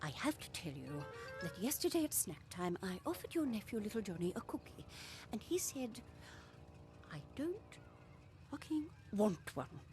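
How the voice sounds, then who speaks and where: A woman voicing a hesitant, polite, proper lady in an animated comedy. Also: mid-range voice, moderate pace, over music, close to an everyday voice. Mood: hesitant, offended. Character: hesitant, proper woman addressing a pupil's uncle.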